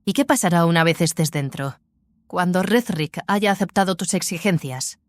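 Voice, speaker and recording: Una actriz interpreta a una cómplice preocupada e inquisitiva en una audioficción de ciencia ficción. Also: female voice, on the low side, normal speed, no room sound, lightly acted. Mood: questioning. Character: Kelly, concerned, questioning female accomplice. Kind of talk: science fiction audio drama dialogue.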